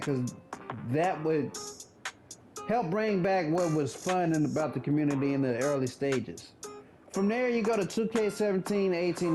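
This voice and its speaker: Thirty-year-old man, high voice, casual blunt gamer commentary over music. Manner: laid-back, blunt, swears casually. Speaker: gaming commentator.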